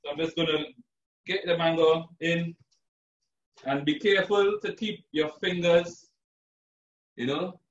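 A man from Trinidad who works as a chef, talking through a live cooking demo in a relaxed, chatty way with lots of fillers. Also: fairly high pitch, a little slow, pauses often. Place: home kitchen indoors. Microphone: far camera, video call. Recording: roomy lo-fi sound from far off.

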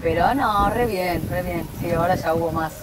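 A woman's voice: lowish voice, moderate pace, very noisy. A young woman in her twenties with an Argentine accent, chatting casually as a guest on an outdoor terrace, full of fillers and restarts. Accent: Argentine Spanish. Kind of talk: casual chat. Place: outdoor terrace, seated at a table. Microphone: lapel.

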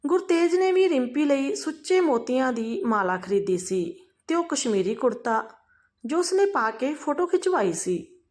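A woman reading a scripted, emotional family story in an expressive, dramatized way. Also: on the high side.

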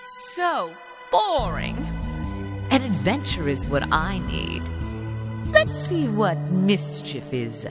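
Slow, theatrical, mischievous cosmic woman, acted. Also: lowish voice, wildly swooping pitch, exaggerated voice. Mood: bored, mischievous. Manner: slow, drawn-out, playful. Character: bored, mischievous female cosmic being seeking adventure. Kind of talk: audio theater sci-fi comedy acting. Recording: loud music behind the voice.

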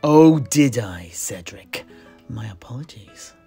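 A man's voice: mid-range voice, lively intonation, slow, warm tone, slightly rough, soft background music, exaggerated voice. A man voicing a sly, rebellious tavern keeper and amateur historian in fantasy banter, pointedly polite and theatrical. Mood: sly, menacingly polite.

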